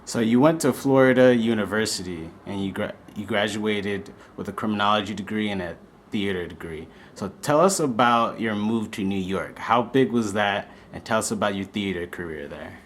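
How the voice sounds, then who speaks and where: A man asking interview questions in a relaxed, friendly way. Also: lowish voice, moderate pace, a little hiss or hum.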